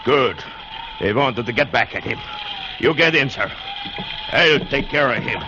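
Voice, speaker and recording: Guy acting a steady loyal boat hand, talks slow n respectful, old radio drama, dull and noisy. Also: medium pitch, natural voice. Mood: alert, dutiful. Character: loyal, steady male boatman helper, deferential.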